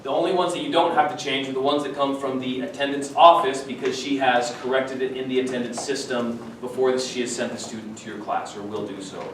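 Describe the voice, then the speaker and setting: A man's voice: mid-range voice, steady tone, a little fast, hardly any pauses, slight background noise. A man, a school administrator, making informal announcements to colleagues at a staff meeting. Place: large room at a school faculty meeting. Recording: very echoey room, distant mic, audience murmur.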